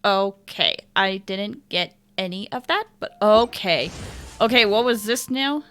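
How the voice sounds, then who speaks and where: A woman doing casual, chatty livestream commentary as she plays a game, with an excitable manner. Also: medium pitch, unhurried, smooth, soft background music. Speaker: game streamer.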